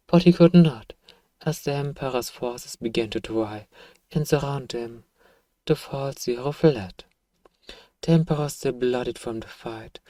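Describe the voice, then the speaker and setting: A man's voice: medium pitch, darkish tone, no echo, close to an everyday voice. Man acting as pompous evil emperor parent, dry close sound. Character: evil emperor, self-righteous scheming parent soothing a child. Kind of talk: ASMR bedtime story roleplay.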